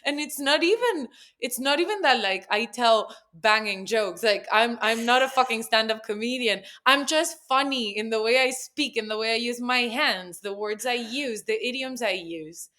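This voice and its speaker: A woman's voice: fairly high pitch, moderate pace, few pauses. A woman in her thirties from Catalonia with a Spanish accent, talking candidly and expressively as a podcast guest. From Catalonia, Spain. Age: 30s. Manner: candid, expressive, sprinkles in swearing and "like". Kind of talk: casual podcast chat.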